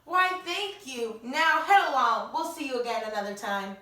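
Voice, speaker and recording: A woman's voice: sits high, animated, normal speed, bright, forceful tone. A young woman acting as a chirpy, theatrical 1920s radio show host who uses old-time slang. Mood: gracious, chatty. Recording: roomy, distant mic, faint noise.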